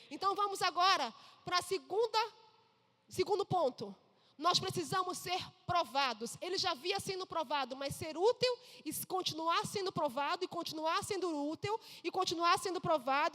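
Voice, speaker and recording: High-voiced Brazilian woman pastor preaching. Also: expressive, moderate pace, bright, forceful tone. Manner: emphatic, calls for audience responses. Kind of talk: sermon. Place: church. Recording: reverberant church hall.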